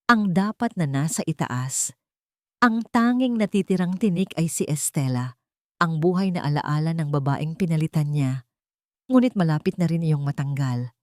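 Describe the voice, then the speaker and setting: A woman narrating a scripted audio story with a dramatic, expressive reading. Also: deep voice, dry recording, clean studio sound.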